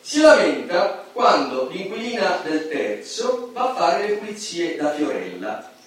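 A man's voice: high-pitched, big pitch swings, unhurried. A man playing a wry, gossipy narrator of a Roman apartment building, with a colloquial storytelling manner and a Roman Italian accent, in a radio play. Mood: wry, chatty. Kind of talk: theatrical radio drama narration. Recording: very echoey, distant, noisy stage recording.

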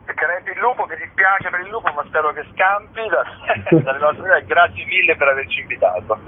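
A man's voice: a little high, a little fast, crowd noise. A man giving an informal interview as a guest, talking as a festival organiser. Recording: phone-like line with faint noise.

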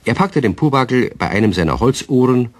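A man narrating a children's radio play in a calm, expressive storytelling manner. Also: medium pitch, a little fast, no echo.

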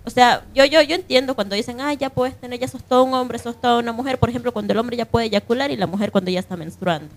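A woman's voice: a little high, fast, hardly any pauses. A woman with a Bolivian accent speaking conversationally in an unscripted radio discussion, often checking for agreement. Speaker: radio panelist.

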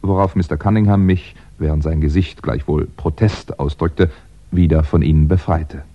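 An actor playing a wry, articulate crime writer who is the hero and narrator of a 1960s radio mystery. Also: male voice, a bass voice, steady tone, normal speed, warm tone. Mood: wry narration. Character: exasperated crime writer narrating his own wrongful arrest. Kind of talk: scripted first-person narration and radio acting. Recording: dull 1960s radio recording.

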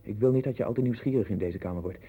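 A man's voice: on the low side, racing, husky. An actor playing an anxious, obsessive man who rambles and broods in a 1960s Dutch radio play. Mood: insistent, guarded. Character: anxious, obsessive man sinking into his own strange world. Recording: tinny, band-limited 1960s radio recording with hiss.

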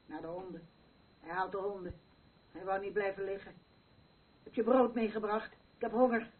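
A woman's voice: medium pitch, fairly even intonation, normal speed, close to an everyday voice. An actress playing a needy, frightened, childlike woman in a Dutch radio drama. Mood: plaintive. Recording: distant, tinny old radio play recording.

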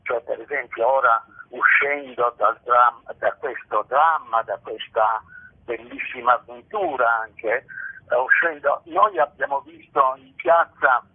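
An older man answering reflectively in a radio interview, halting and repeating phrases for emphasis. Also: medium pitch, breathy edge. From Italy. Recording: tinny band-limited phone line.

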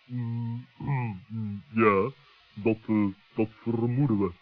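A man's voice: lowish voice, steady tone, takes it slowly. A man playing a pompous, wary owl with wordy phrasing in a children's radio play. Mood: cautious. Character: pompous, wary male owl, friend of gnome. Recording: muffled old narrow-band radio recording.

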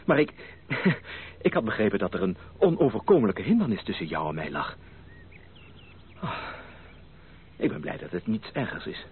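Earnest, theatrical male suitor, formal and animated, hissy phone-like vintage radio play recording. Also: fairly low pitch, normal speed, long pauses. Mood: relieved. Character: ardent, earnest gentleman suitor pleading for love. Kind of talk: old radio play acting. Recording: tinny, band-limited, hissy old radio recording.

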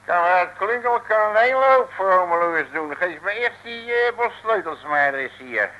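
A streetwise detective from a vintage comic radio serial, full of bluster and folksy slang. His voice is piercingly bright and slightly high. A faint noise sits on the old recording. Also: lively intonation, normal speed, highs cut off. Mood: bossy, threatening. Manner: colourful folksy slang and mock threats. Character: cocky, blustering, streetwise male detective, bluffing his way through. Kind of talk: 1950s Dutch comic radio serial acting.

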